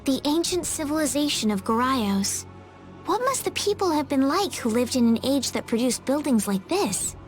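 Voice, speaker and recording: A woman's voice: high voice, lively intonation, normal speed, pauses often, faint music behind. An actress voicing a plucky, earnest and slightly bossy young girl in a video game. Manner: declarative, spirited.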